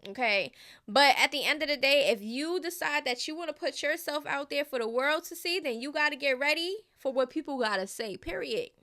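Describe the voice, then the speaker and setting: High, bright-voiced woman in her thirties chatting. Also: expressive, fairly quick. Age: 30s. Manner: chatty, keeps checking in with "okay?", slangy. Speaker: gossip commentary host. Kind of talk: casual commentary to viewers.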